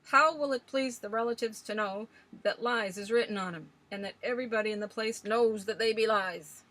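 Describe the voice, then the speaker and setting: A woman's voice: fairly high pitch, fairly quick, voice pushed up, natural voice, rough recording. A woman playing a blustering scornful old rustic man. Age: older. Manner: loud, blustering, rustic grammar.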